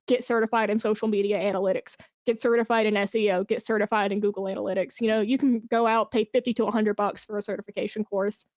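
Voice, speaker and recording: A woman's voice: fairly high pitch, darkish tone, no room sound, studio quality. A woman guest in a conversational interview, giving career advice in an informal, encouraging and emphatic way. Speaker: podcast guest, esports brand and community manager.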